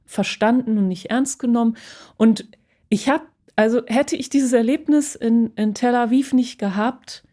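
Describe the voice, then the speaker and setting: Woman, soft mellow tone, mid pitch, casual interview, reflective, searching for words. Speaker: musician and composer telling her experiences. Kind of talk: casual personal interview talk.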